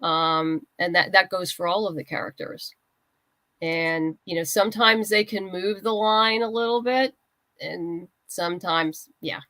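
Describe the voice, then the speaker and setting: A woman's voice: lowish voice, a little slow, sharp tone, dry recording. A woman chatting casually as an interview guest, talking in an enthusiastic, chatty way. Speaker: guest, writer and comics creator.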